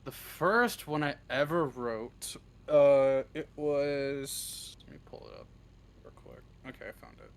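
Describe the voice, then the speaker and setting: Halting, casual man with mid-pitched voice. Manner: halting, self-deprecating, casually sweary. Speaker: interview guest, script writer. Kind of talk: casual chat.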